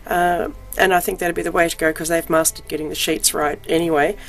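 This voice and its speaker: Croaky, under-the-weather woman, low-pitched, chatty show-and-tell with faint music behind. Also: flat delivery, fairly quick. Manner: chatty, frequent ums, self-deprecating. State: croaky voice from illness. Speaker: crafting vlogger.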